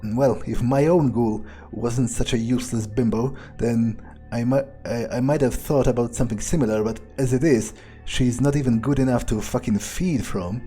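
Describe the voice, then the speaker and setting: A man's voice: medium pitch, moderate pace, few pauses, mellow, soft background music. A man roleplaying his way through a video game, thinking aloud in character with a musing, sardonic, sometimes profane manner.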